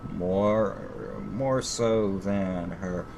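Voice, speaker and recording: Low-voiced man reading haltingly over loud noise. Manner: halting reading, stumbling over words and repeating phrases. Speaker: streamer reading a visual novel aloud. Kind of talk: live stream read-aloud of story narration.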